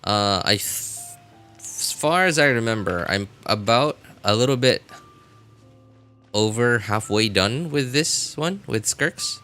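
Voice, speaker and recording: A man chatting casually as a live game streamer, rambling and halting as he often repeats himself. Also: mid-range voice, lively intonation, pushed and bright, soft background music.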